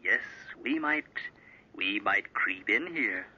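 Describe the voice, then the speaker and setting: A man's voice: fairly low pitch, animated, slow pace, pushed and bright, husky, heavily acted. An actor voicing the sinister inner thoughts of a young man in an old radio drama. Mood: menacing. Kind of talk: 1940s radio acting. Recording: dull lo-fi old radio recording, distant.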